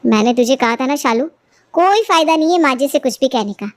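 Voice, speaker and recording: A woman's voice: high voice, expressive, brisk, no room sound. A woman voicing a resigned, sensible elder sister-in-law in a cartoon family story, matter-of-fact and placating.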